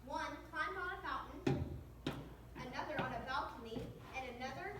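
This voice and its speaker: A woman's voice: high voice, normal speed. A young-sounding female narrator reading a scripted story aloud for readers theater. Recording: echoey room, distant mic, clear background noise.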